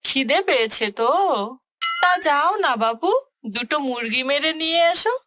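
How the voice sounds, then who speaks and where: Woman as high, sharp-tongued tigress wife. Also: dry recording. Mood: impatient. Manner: sarcastic, nagging, brisk. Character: sharp-tongued, practical tigress wife scolding her husband. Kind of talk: children's animated story acting.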